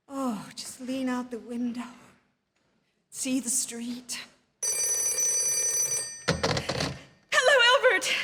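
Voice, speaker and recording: An actress playing a nervous, demanding bedridden wife in a theatrical radio suspense drama, querulous and melodramatic. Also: female voice, high voice, sharp tone, a little hiss or hum, heavily acted. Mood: frantic, desperate. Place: theatre stage. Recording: live theatre stage, long hall reverb.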